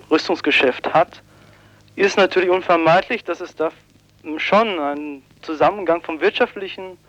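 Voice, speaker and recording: A man giving spontaneous analysis in an interview as an activist, halting as he searches for the right wording. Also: a little high, no echo. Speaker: peace office activist, interviewee. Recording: dull, dry lo-fi radio tape.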